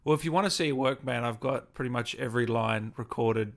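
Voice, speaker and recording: A man in his thirties hosts a gaming interview. He speaks at a medium pitch in a casual, conversational way, into a desk microphone. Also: a little fast. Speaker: interviewer, gaming channel host.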